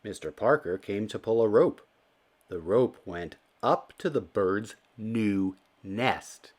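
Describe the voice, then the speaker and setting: A man reading a children's storybook aloud as narrator, in an expressive and deliberate storytelling manner. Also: fairly low pitch. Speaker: children's book reader.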